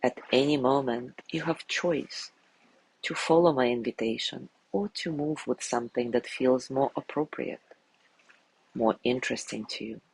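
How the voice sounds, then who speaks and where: A man guiding a sleep meditation in a gentle, soothing way, softly spoken and unhurried, with long pauses between phrases. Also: medium pitch, creaky, slightly rough, low-quality recording. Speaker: meditation guide.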